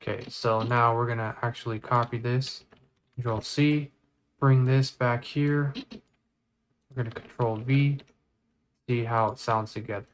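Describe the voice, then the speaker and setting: A man giving a casual step-by-step software tutorial, informally thinking aloud as he works. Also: mid-range voice, steady tone, unhurried, long pauses, poor audio. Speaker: tutorial creator. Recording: clear background noise, mouse clicks.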